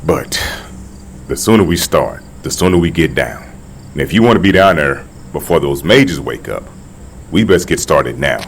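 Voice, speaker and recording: An actor playing a practical, steady and weary former medic in an audio drama, speaking matter-of-factly. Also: male voice, a bass voice, moderate pace, slight background noise.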